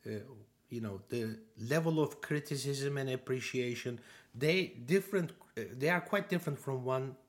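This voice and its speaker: A man's voice: medium pitch, moderate pace. A man with a Turkish accent speaking in a casual interview as a course instructor, rambling with many fillers and restarts. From Turkey.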